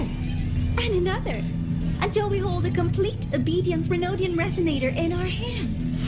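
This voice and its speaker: A woman playing a sly, theatrical villainess in a video game, a gloating mastermind with a mocking, taunting manner. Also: fairly high pitch. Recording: loud music behind the voice. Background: loud game music.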